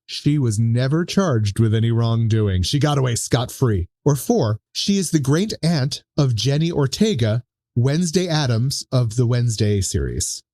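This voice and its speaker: A man's voice: mid-range voice, lively intonation, normal speed, mellow, no room sound. A man hosting a podcast, running a trivia quiz in a casual, chatty style. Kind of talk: casual quiz-show chat.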